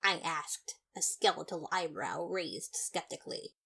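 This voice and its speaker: A woman reading scripted first-person fiction in a dramatic, expressive narrator's manner. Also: sits low, unhurried, creaky, slightly rough. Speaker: podfic narrator.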